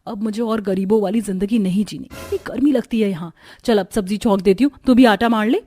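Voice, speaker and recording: A woman's voice: medium pitch, brisk, warm tone, lightly acted. A woman playing a money-hungry young village sister in a cartoon story, emphatic and complaining. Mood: dreamy, determined. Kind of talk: Hindi cartoon story dubbing.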